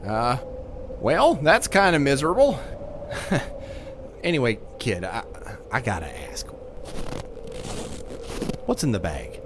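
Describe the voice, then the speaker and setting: An actor playing a kindly, rambling survivor in an audio drama, stammering and repeating himself in a folksy way. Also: male voice, medium pitch, very animated, slow pace, long pauses, noisy background. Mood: suspicious, probing. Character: gruff but kindly rambling male crash survivor.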